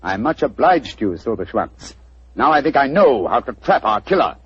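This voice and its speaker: A brilliant, cool-headed detective, giving orders in crisp, clipped phrases. His mid-pitched voice is husky. It comes through a dull old radio broadcast. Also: big pitch swings, normal speed. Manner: crisp, commanding, clipped British diction. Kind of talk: 1940s radio acting. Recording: lo-fi 1940s radio recording.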